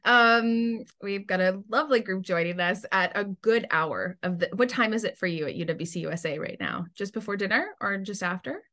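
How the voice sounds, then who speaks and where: A woman's voice: mid-range voice, lively intonation, moderate pace, few pauses, pushed and bright. Woman hosting an online panel. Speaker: panel host, admissions committee staffer. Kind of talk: hosting an online webinar, casual introductions.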